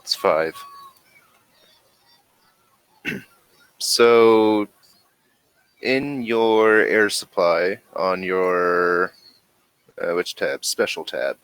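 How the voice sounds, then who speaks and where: A man with a low voice leads a tabletop role-playing game. He speaks slowly and haltingly, often searching for the right word, and the sound is dry and close. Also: a bit bright, clear, resonant tone, dry recording, poor audio. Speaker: game master.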